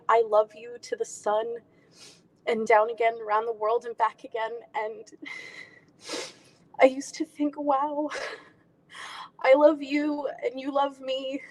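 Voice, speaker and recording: A woman performing a dramatic monologue as a wounded, bitter grown daughter confronting her mother, emotional and pausing deliberately. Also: high-pitched, voice pushed up, poor audio. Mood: tender, wistful. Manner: emotional, tearful, deliberate pauses.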